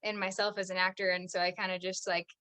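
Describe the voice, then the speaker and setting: A woman's voice: mid-range voice, little pitch movement, fast talker, pushed and bright. A young American actress chatting as a podcast guest, filling her talk with "like" and frequent laughs. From United States. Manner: chatty, says "like" constantly, laughs often. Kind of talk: casual interview chat.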